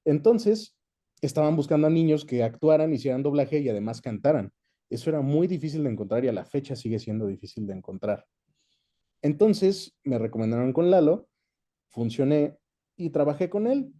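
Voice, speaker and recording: A man's voice: on the high side, expressive, normal speed, mellow. A man with a Mexican accent chatting casually as an interview guest, recounting his experience. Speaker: guest, dubbing actor and director.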